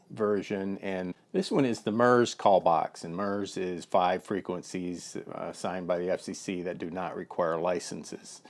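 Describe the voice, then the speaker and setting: A man's voice: deep voice, a little mellow. A man giving a casual, plain-spoken product demonstration of an intercom, with lots of fillers and restarts.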